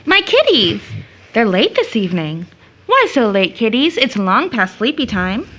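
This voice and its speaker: A young woman in an audio drama, high-strung and full of affection for children. Her voice is fairly high and very bright, with big lively swings in pitch. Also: measured pace, full character voice. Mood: doting. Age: young adult.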